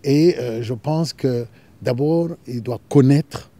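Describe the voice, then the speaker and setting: A man's voice: mid-range voice, slow, warm tone. A man from the Rwandan diaspora talking casually in an interview, repeating phrases for emphasis.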